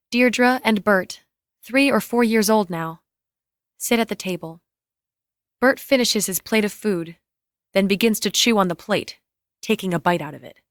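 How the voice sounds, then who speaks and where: A woman's voice: medium pitch, unhurried, long pauses, dry recording. A woman reading scripted scene directions in a plain, clipped narrating style, close on the microphone. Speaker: reader of stage directions.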